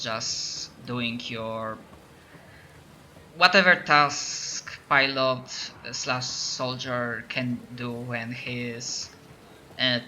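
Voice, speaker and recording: Polish guy running a tabletop game, slow and hesitant, bright sharp voice. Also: medium pitch, a little hiss or hum. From Poland. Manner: hesitant, lots of restarts. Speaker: game master.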